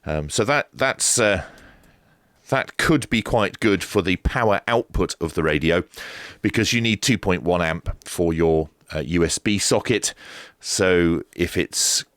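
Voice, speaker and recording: A man's voice: sits low, a little slow, fairly bright tone, dry recording, studio quality. A man giving a casual, unscripted unboxing review, explaining things as he goes in a hesitant way. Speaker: radio gadget reviewer.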